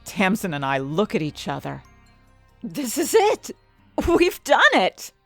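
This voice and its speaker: A woman playing an ambitious, conflicted scientist who narrates her own story with dramatic, deliberate phrasing. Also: medium pitch, wildly swooping pitch, dry recording, faint music behind, exaggerated voice. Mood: tense narration, then triumphant. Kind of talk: first-person audio fiction narration.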